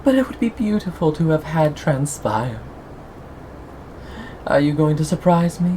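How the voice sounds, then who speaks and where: A performer of unclear sex playing a sadistic, possessive cannibal captor in an ASMR roleplay, lingering on words with mock tenderness and soft menace. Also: medium pitch, expressive, unhurried, a little hiss or hum, close to an everyday voice, rough recording. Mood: teasing, mocking. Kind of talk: ASMR roleplay, scripted monologue to listener.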